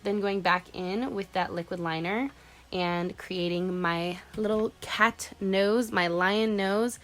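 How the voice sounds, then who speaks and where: A woman's voice: mid-range voice, steady tone, takes it slowly, a bit bright, soft background music. A young woman in her twenties with a congested cold voice, chatting casually through a makeup tutorial voice-over. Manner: chatty, casual, uses "like" a lot. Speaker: beauty vlogger.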